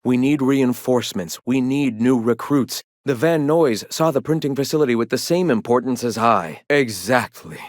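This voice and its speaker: An actor playing a zealous philosopher who leads an anti-corporate rebel sect in a video game, speaking in lofty, measured, formal oratory. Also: male voice, mid-range voice, darkish tone, no echo, clean studio sound, close to an everyday voice. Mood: lofty, earnest. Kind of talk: video game character dialogue.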